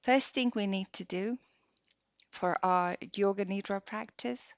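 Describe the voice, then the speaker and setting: Slow-paced woman guiding meditation, gravelly fry. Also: medium pitch, no echo. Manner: soft, unhurried, with long pauses. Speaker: yoga nidra meditation guide. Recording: dull lo-fi close dry recording.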